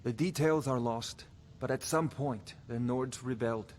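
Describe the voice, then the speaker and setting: A man's voice: medium pitch, measured pace, a little mellow, a little hiss or hum, close to an everyday voice. Man playing a pompous scholarly court wizard, faint ambience. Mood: smug, lecturing. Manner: pompous, condescending, wordy and precise. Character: haughty, scholarly male court wizard obsessed with dragon research. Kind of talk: fantasy video game voice acting.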